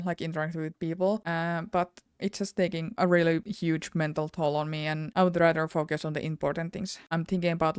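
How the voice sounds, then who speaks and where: A woman's voice: lowish voice, clear, resonant tone, dry recording, studio quality. A young woman with a Finnish accent, talking openly in a personal vlog, rambling and correcting herself as she goes. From Finland. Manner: candid and rambling, with self-corrections and little laughs. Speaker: YouTuber.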